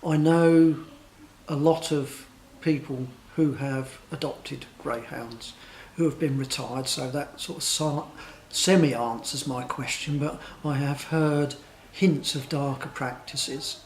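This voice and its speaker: A man in his sixties giving measured interview answers, pausing often with fillers. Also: mid-range voice, fairly even intonation, slight background noise. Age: 60s. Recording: echoey room with faint ambience.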